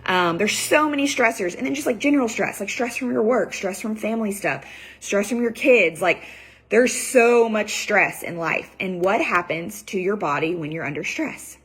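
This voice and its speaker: A woman's voice: medium pitch, moderate pace, fairly bright tone, slightly rough, rough recording. A woman nutrition coach with a cold, losing her voice, giving a chatty live training talk full of fillers. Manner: chatty, loose, many fillers and "like"s.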